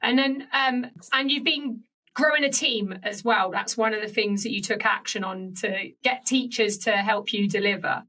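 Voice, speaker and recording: A woman's voice: mid-range voice, normal speed, bright, forceful tone, distant mic, rough recording. A woman hosting a podcast interview conversationally, recorded slightly away from the microphone.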